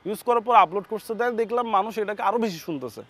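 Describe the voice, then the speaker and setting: A man's voice: sits high, quick pace, fairly bright tone. A young man with a Chattogram accent, a comedy content creator answering casually as an interview guest. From Chattogram, Bangladesh.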